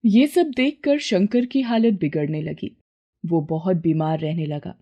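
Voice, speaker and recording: A woman's voice: lowish voice, a little slow, highs cut off. A woman narrator telling a scripted moral tale in a storytelling style.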